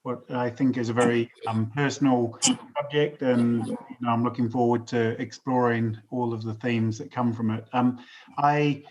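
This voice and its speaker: Measured male executive from New Zealand; flat delivery over a dull, slightly hissy line. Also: mid-range voice. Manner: measured, frequent ums. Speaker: panelist, luxury trains executive. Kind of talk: online panel introduction. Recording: dull lo-fi line with faint hiss.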